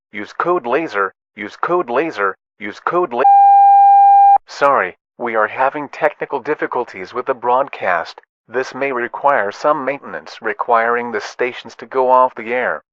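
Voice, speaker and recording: A man's voice: mid-range voice, a bit bright, dry recording, lightly acted. A synthetic male voice as an automated weather radio announcer, reading formal bulletins in an impassive, robotic way. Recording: dull lo-fi weather radio broadcast.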